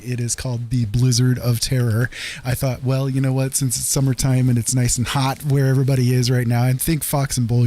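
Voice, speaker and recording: A man's voice: mid-range voice, a little mellow. A man hosting a late-night livestream from his rural home, chatting casually with viewers, rambling with many fillers and frequent laughs. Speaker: livestream host.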